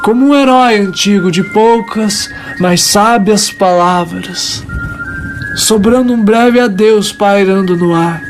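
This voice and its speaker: A woman voicing a devout small creature, telling its tale in solemn, reverent, dramatic narration with deliberate pauses. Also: mid-range voice, over music, natural voice. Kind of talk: dramatic first-person scripted narration.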